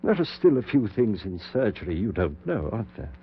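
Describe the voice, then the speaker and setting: A middle-aged surgeon bent on raising the dead, his voice low-pitched and intense. He gives clipped, commanding orders in the grave style of 1940s radio horror. The old broadcast recording is dull, with hum and faint noise. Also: very animated, a little fast. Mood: confident, secretive. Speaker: radio drama actor. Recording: muffled, noisy, humming 1940s radio recording.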